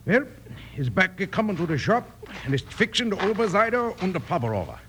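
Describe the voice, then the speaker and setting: A man's voice: high voice, very animated, fairly quick, a bit bright. A man playing a flustered comic German gunsmith, sputtering mangled English with a thick German accent in a radio western. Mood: explaining. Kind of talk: old-time radio comic western acting. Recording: faintly noisy old radio recording.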